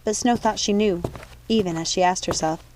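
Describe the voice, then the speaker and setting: A woman's voice: medium pitch, moderate pace, a little mellow, slight background noise, low-quality recording. A woman reading an audiobook as narrator, in a dramatic scripted storytelling style. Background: music.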